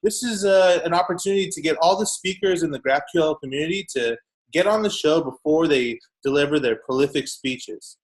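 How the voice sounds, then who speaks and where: A man's voice: on the high side, moderate pace, rough recording. A man hosting a tech podcast, introducing a guest and asking questions in a casual, upbeat way with frequent uhs and ums. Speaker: podcast host. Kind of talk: podcast interview.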